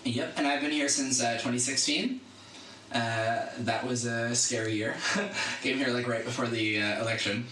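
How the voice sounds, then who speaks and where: A man's voice: mid-range voice, fairly even intonation, normal speed, pushed and bright. Young American man in an echoey room. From Boston area, United States. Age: young adult. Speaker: podcast co-host. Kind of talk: casual banter. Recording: echoey room, distant mic, faint noise.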